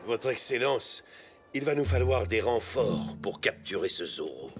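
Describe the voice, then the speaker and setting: A man's voice: on the low side, expressive, a little slow, a little hiss or hum. A man playing an arrogant, menacing army commander in a cartoon, barking orders with contempt. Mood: exasperated. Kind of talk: animated cartoon voice acting.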